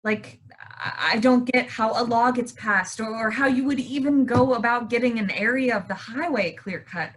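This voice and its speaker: An actress in a staged play monologue, playing a puzzled, self-doubting woman who confides in halting, plain words. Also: a little high, natural voice, rough recording. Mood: wistful, puzzled. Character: puzzled, self-doubting pregnant woman musing, named Lynn.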